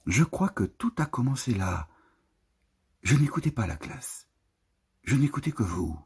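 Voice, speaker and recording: Husky, slow-paced man reciting poetry. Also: medium pitch, sing-song, darkish tone. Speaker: poetry reader. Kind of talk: literary poetry recitation, scripted narration.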